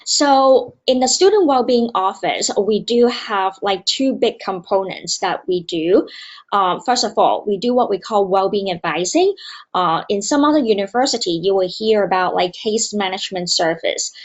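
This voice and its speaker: A woman's voice: mid-range voice, normal speed. Woman presenting over a video call, lots of ums, earnest, muffled lo-fi sound. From Hong Kong. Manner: earnest, many fillers and hesitations. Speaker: university student wellbeing director. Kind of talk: conference presentation. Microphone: remote video call.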